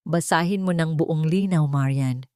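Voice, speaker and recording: A woman's voice: deep voice, measured pace, a little mellow, clear, resonant tone, no echo, clean studio sound. A woman voicing a stern, bitter, commanding husband in an audio drama, with firm, cutting delivery. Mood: stern, accusing.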